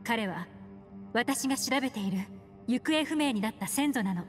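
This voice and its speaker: A woman playing a composed, serious adult investigator in a video game, speaking in a measured way. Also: fairly high pitch, lively intonation, big-room sound, natural voice. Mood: composed, grave. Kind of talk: Japanese video game voice acting. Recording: music behind.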